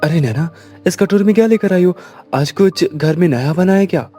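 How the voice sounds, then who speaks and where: A man voicing easygoing, appreciative Indian family men in an animated story, chatty and hearty. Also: sits high, normal speed, faint music behind, lightly acted. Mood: pleased, curious. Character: easygoing, appreciative Indian husband and his friendly pal.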